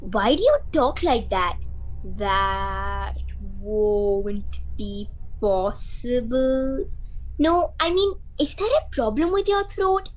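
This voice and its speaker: A woman's voice: on the high side, expressive, slow pace, soft background music, poor audio. A woman acting a bold, blunt mother and defiant houseguest in an amateur radio play, with a theatrical, emphatic delivery. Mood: chatty, blunt.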